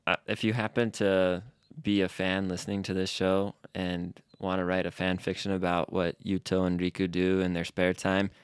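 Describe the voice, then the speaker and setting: A man with a low, smooth and resonant voice, co-hosting a casual language podcast. He explains Japanese phrases in an easygoing, patient way. The sound is dry and close to the mic. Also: level tone, moderate pace, hardly any pauses, no echo. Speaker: podcast co-host teaching Japanese. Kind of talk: casual language-lesson chat.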